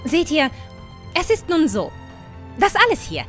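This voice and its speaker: A woman's voice: sits high, wildly swooping pitch, unhurried, fairly bright tone, soft background music, exaggerated voice. A woman playing a smug, self-important young lady in a fantasy audio drama, gushing and self-satisfied. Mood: smug, boastful.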